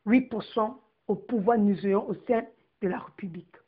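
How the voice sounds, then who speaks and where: A woman's voice: mid-range voice, normal speed, breathy edge, narrow, tinny audio. A woman from the Republic of the Congo giving an emphatic political address to her compatriots. She declaims and stresses each word of her long lists.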